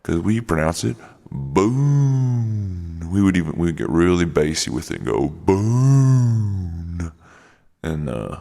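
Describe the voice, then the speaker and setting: Man playing a very deep-voiced gruff road comic. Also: lively intonation, dark tone, exaggerated voice. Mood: rambling. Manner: halting, rambling, irritable drawl with malapropisms. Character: gruff, defensive male road comic who is secretly a werebear. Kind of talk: improvised comedy radio play interview. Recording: long reverberant echo.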